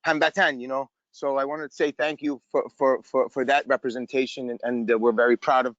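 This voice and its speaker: A man's voice: medium pitch, little pitch movement, brisk, fairly bright tone, no echo. An earnest audience member, a man, asks a question with effusive thanks and stumbles over repeated words. His voice sits mid-range, and the call audio is dull and dry. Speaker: caller asking a question. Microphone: video call.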